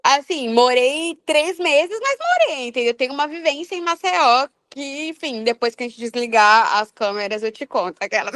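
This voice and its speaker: A Brazilian woman with an accent from outside Rio, chatting as a podcast guest in an animated, slangy way, telling personal stories. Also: high voice, moderate pace, no room sound, clean studio sound. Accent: Brazilian, non-Rio. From Brazil, outside Rio de Janeiro. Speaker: podcast guest, funk singer. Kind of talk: casual chat, personal storytelling.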